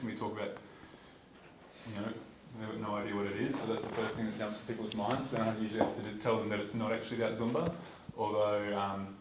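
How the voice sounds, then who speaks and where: Young Australian man talking casually in an echoey hall. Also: on the low side. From Perth, Western Australia. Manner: casual, rambling, many ums and restarts. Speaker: student speaker at a conference. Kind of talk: informal talk to a lecture audience. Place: lecture theatre. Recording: echoey hall, distant, noisy.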